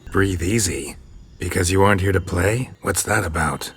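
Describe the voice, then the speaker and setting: A man playing a gruff, world-weary monster hunter in a video game, gravelly, terse and deadpan. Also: deep voice, moderate pace, slight background noise. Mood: wary. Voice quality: gravelly, husky. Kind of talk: video game character acting.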